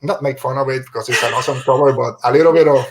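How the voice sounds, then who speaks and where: A man's voice: medium pitch, racing, poor audio. A man hosting a podcast in a casual, rambling, joking way. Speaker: podcast host.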